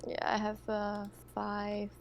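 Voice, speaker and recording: A woman's voice: medium pitch, completely flat delivery, slow pace, creaky. A woman chatting casually as a player in a tabletop roleplaying game. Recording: faint background noise and room ambience.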